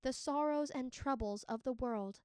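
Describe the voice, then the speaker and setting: A woman narrating a storybook as a teacher would, in an expressive read-aloud style. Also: sits high, normal speed, smooth, no room sound, studio quality.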